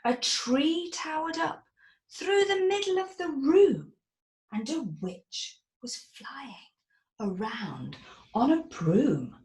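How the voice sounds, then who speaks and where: Author reading her picture book aloud, slow and dramatic, whispers and gasps, roomy echo. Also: high voice. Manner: expressive, sing-song, with dramatic whispers and gasps.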